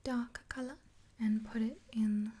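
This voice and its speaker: A woman in her twenties whispering softly and gently in a rambling, casual ASMR roleplay, close to the mic. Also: fairly high pitch, steady tone, unhurried. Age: 20s. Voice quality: breathy whisper. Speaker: ASMR roleplayer as makeup artist.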